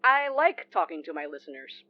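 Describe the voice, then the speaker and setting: A woman's voice: deep voice, big pitch swings, no room sound, highs cut off. A woman playing a polite, composed non-binary late-night radio DJ in an audio drama. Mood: friendly, amused. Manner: polite, unhurried. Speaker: radio show host. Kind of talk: conversational audio drama acting.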